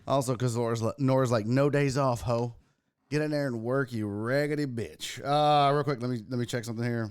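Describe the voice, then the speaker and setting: A man hosting a casual livestream sports talk show, hoarse and raspy from losing his voice, joking crudely and mocking himself as he chats with viewers. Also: mid-range voice, steady tone, fairly quick, no room sound. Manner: crude, self-mocking banter with chat. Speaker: host.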